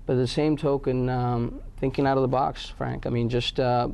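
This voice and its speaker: A man's voice: medium pitch, level tone, moderate pace, vocal fry, a little mellow, smooth. A man from Pakistan answering interview questions about his company, with many fillers and restarts. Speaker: guest, company head.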